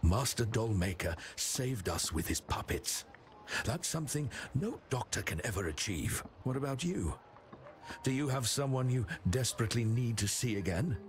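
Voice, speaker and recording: A man's voice: medium pitch, lively intonation, moderate pace, slight background noise, lightly acted. An actor voicing a weary, devoted doctor who earnestly defends his choices in a game.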